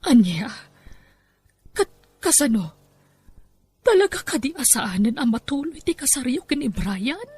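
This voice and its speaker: A woman's voice: high voice, very animated, unhurried, long pauses, darkish tone, husky, full character voice. A woman with an Ilocano Filipino accent playing a doting, effusive mother of the bride in a podcast comedy drama. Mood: concerned.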